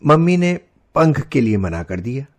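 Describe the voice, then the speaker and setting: A man narrating a scripted children's story as storyteller, expressive and didactic. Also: mid-range voice, normal speed, soft background music.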